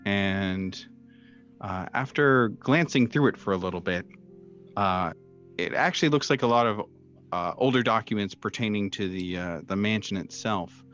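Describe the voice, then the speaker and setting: A man with a low voice runs a tabletop horror game as its game master. He narrates off the cuff, hesitating often as he feels his way through each sentence. The sound is a bit dull and lo-fi, with faint music underneath. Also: fairly bright tone. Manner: halting, hesitant delivery with frequent long hesitations. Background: background music.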